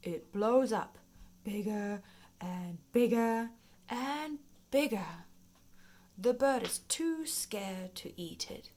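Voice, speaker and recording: A woman reading a children's nature book aloud in an expressive, playful storytime manner, paced for young listeners. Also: medium pitch, takes it slowly. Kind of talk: read-aloud children's nonfiction storytime narration.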